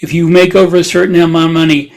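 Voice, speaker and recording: High pitched guy on a podcast, casual chat, questioning and correcting. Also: level tone, fast talker, gravelly. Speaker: podcast co-host.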